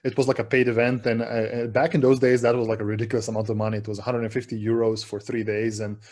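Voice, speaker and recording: A man from Serbia, a relaxed, chatty conference speaker giving a talk over a video call. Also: medium pitch, fast talker. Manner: relaxed, chatty, self-deprecating.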